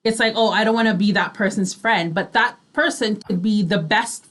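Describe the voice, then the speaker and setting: A woman's voice: mid-range voice, normal speed, fairly bright tone. A woman co-hosting an online radio show in a casual, conversational way. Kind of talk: casual chat. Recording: music playing behind the voice. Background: background music.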